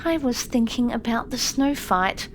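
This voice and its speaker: A woman's voice: a little high, animated, measured pace, slight background noise. A woman voicing an eager, polite ten-year-old girl in an audiobook, earnest and respectful. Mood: apologetic, earnest. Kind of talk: audiobook character voice.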